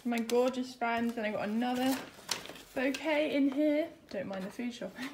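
A woman's voice: fairly high pitch, normal speed, background noise. A young British woman chatting casually to camera as a vlogger, informal and self-deprecating. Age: young adult. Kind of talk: casual vlog chat to camera.

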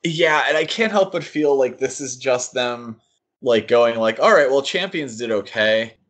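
A man's voice: medium pitch, lively intonation, a little slow, fairly bright tone. A man co-hosting a podcast, giving critical opinions in a casual discussion of comics. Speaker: podcast co-host.

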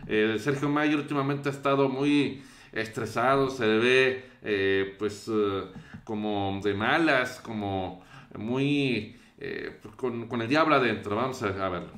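A man's voice: medium pitch, slow pace, a bit bright. A young man with a Mexican accent commenting on news clips from a small bedroom, hesitant with many fillers. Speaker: show host. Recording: roomy echo.

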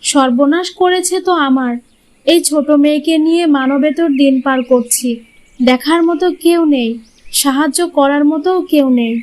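A woman's voice: high voice, moderate pace, a little mellow, close to an everyday voice. A woman voicing a poor, weary widowed village mother in a cartoon, tender and plaintive, loving but doubtful. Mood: aggrieved. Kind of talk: Bengali moral-tale cartoon dubbing.

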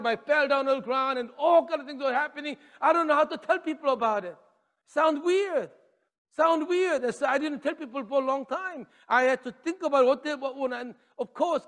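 A middle-aged man preaching on a church stage, gesturing widely and swinging from loud declarations to quiet near-whispers, in English with dropped articles. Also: very high-pitched. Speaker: pastor. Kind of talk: sermon. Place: church stage with drums and podium. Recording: long reverb of a church hall.

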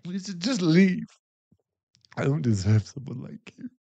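A man acting a remorseful, tender werewolf boyfriend in an intimate ASMR roleplay, halting and emotional, often breathy. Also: a little high, big pitch swings, mellow, dull audio, exaggerated voice. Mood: distraught, guilty. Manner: halting, emotional, pleading, with sighs and breathy drops into whispers.

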